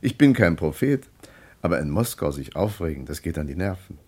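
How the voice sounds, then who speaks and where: Low voice, animated intonation, laid-back travel companion, wry, teasing, soothing, radio play. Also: male voice, fairly quick, slightly rough, heavily acted. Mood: wry, calming. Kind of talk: German radio play acting.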